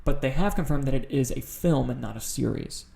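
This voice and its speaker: A man's voice: medium pitch, fairly quick, creaky, dark tone. A man co-hosting a casual podcast, talking about film news in a blunt, profane, opinionated way. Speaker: podcast co-host.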